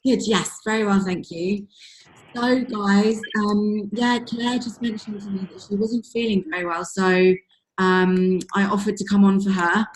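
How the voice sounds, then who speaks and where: Husky woman on a video call. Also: medium pitch, steady tone, measured pace, a little hiss or hum. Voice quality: husky, losing her voice. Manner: chatty, many fillers like "um" and "you know". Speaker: team leader coaching sales recruits. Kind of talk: casual motivational coaching talk. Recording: echoey room over a video call.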